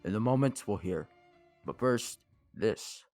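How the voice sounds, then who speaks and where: A man's voice: lowish voice, lively intonation, unhurried, a little mellow. A man announcing a scripted radio mystery show as its narrator, with music behind him.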